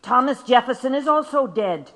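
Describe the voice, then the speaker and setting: An actress playing a sarcastic, hard-headed radical activist in an audio drama. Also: female voice, high-pitched, expressive, moderate pace, fairly bright tone. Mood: sardonic. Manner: dry, sarcastic. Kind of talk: radio drama acting.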